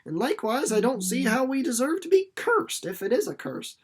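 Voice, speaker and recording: A man's voice: very high voice, sing-song, brisk, husky, pitched above the performer's own voice, exaggerated voice. Man playing a motherly countrywoman, folksy dialect, plain-spoken, homespun, storybook character. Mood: resigned, musing.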